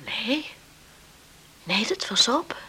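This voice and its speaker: An actress in a Dutch radio play playing an anxious, dutiful farm daughter who speaks politely. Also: female voice, a little high, very animated, extremely slow, sharp tone, exaggerated voice. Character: anxious dutiful farm daughter tending her sick mother. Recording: old radio recording, faint noise.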